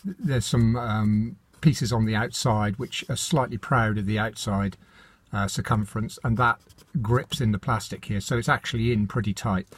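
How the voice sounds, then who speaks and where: A man's voice: fairly low pitch, steady tone, normal speed. A British man presenting an informal hands-on how-to in an unscripted, matter-of-fact, slightly hesitant manner.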